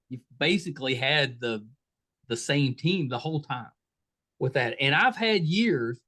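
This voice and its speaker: Podcast host guy, chatty and friendly, kinda high voice that goes up and down a lot. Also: a bit bright. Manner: friendly, chatty, self-deprecating. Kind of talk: casual interview chat.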